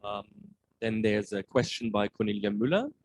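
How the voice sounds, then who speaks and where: A man's voice: on the low side, expressive, normal speed, low-quality recording. A man moderating an academic talk, reading out questions from the audience.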